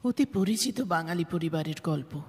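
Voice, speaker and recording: Woman acting stern, animated organisation head in long reverb. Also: on the low side, husky. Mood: calm, reflective. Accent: Bengali. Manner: measured, interrogating, firm and formal. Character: composed, authoritative woman heading a welfare organisation, probing and stern. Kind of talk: Bengali audio drama acting. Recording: reverberant hall-like echo.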